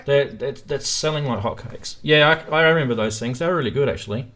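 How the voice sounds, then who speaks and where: A man's voice: mid-range voice, fairly quick, a bit bright. Man, Australian accent, live stream host, casual group chat, music gear talk.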